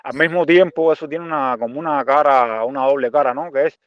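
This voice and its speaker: A Cuban man of forty-five giving reflective interview answers haltingly, repeating phrases as he searches for words. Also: medium pitch, fairly even intonation, dry recording, muffled recording. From Cuba. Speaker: interview guest, visual artist and art teacher.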